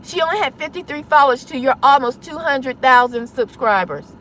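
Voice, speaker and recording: A woman with a high voice speaks as an online creator making an impassioned address. She is insistent and confrontational, leaning on pointed rhetorical questions. There is faint ambient noise behind her, like traffic. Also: brisk, a bit bright, low-quality recording. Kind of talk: impassioned public address to viewers.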